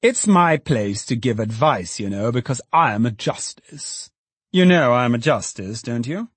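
A man's voice: medium pitch, expressive, moderate pace, husky, no room sound. A man doing a jovial, patronizing alderman. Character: hearty, condescending middle-aged male alderman.

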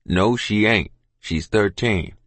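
A man voicing a stubborn, calm rural father in an audiobook, firm and commanding. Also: fairly low pitch, takes it slowly, no echo, pitched below the performer's own voice, full character voice. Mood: calm, unyielding. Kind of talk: audiobook character dialogue.

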